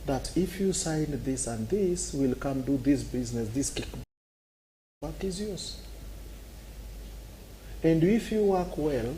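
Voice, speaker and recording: A man's voice: fairly high pitch, animated, slow pace, long pauses, dark tone, slight background noise, low-quality recording. A man with a Kenyan accent, arguing animatedly on a talk show and acting out scenes with sound effects. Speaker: political commentator.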